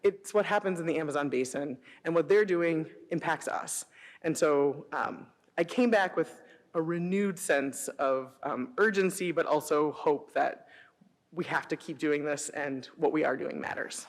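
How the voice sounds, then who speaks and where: American woman, middle-aged, animated storyteller, informal public talk, long hall reverb. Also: low-pitched, measured pace. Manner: animated storytelling, acts out quoted dialogue. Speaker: city sustainability director presenting. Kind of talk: informal public presentation with personal anecdotes. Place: presentation hall before an audience.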